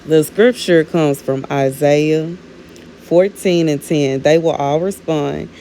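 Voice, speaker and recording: A woman's voice: deep voice, slow, mellow, a little hiss or hum. Woman in her thirties, rambling spiritual talk. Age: 30s. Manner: rambling, fills with "you know what I'm saying", calls viewers "baby". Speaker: minister sharing prophetic words. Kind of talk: casual spiritual talk to viewers.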